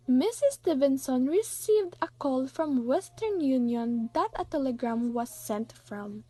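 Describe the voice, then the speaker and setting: A woman's voice: high voice, warm tone, slightly rough, no echo. A young woman reading scripted narration for a student radio drama.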